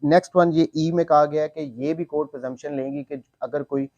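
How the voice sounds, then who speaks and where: A man with a Pakistani accent teaches in a somewhat high voice. He explains step by step in a didactic way, restating key points and dropping in English legal terms. The sound is dry and close, with little room in it. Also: fairly even intonation, fairly quick, a little mellow, no room sound, dull audio. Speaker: law lecturer.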